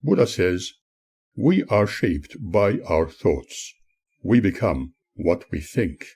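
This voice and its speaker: A man narrating his own spiritual audiobook as its author, in an earnest, preachy manner with measured phrasing and a husky voice. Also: sits low, big pitch swings, darkish tone. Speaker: author reading own book.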